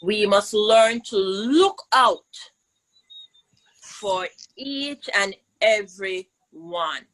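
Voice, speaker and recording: A woman's voice: mid-range voice, lively intonation, drawn-out words, fairly bright tone, low-quality recording. A woman with a Jamaican accent hosting a children's lesson, speaking in an encouraging, emphatic way and prompting her listeners. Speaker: host of children's virtual storytime, teacher. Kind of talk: live interactive lesson for children.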